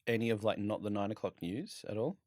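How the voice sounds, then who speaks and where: A man's voice: lowish voice, a little fast, a little mellow, no room sound, studio quality. A man hosting a podcast interview, asking casual questions and laughing easily. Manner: laughs easily, brief agreeing replies. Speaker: podcast host.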